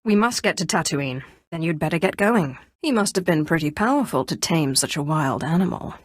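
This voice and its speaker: Casting: cool-headed female Sith sorceress in a game, imperious yet idealistic; lively intonation, dry close studio sound. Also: low voice, no room sound. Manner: poised, measured, imperious. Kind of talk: scripted video game dialogue acting.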